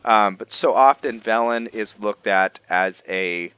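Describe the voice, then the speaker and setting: Bright-voiced man giving halting game commentary. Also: fairly low pitch, clear, resonant tone, rough recording. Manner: halting, reflective, thinking aloud. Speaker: gaming host. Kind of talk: casual roleplay game commentary.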